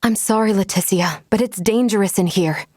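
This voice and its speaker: An actress voicing a composed, polite female android in a video game, speaking in formal, measured, precise phrases. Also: mid-range voice, darkish tone, dry recording, clean studio sound, natural voice. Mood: calm, reassuring. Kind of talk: scripted video game character lines.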